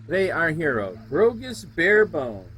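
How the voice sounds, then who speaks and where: A man's voice: a little high, very animated, normal speed, fairly bright tone, faint music behind. A man from the United States streaming a game, chatting casually and drifting off into digressive stories. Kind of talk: casual rambling chat while streaming a game.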